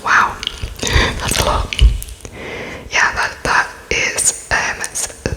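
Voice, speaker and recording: A woman speaks entirely in a breathy whisper, playing an interviewer in an ASMR roleplay. Her delivery is very unhurried and halting, with phrases spaced out as though she is writing them down. She comes across as polite and apologetic.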